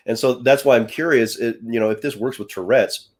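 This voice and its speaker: A man's voice: medium pitch, moderate pace. A man hosting a podcast who has a stutter. He is hesitant, repeats words, and sometimes breaks off with a light laugh. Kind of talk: conversational interview.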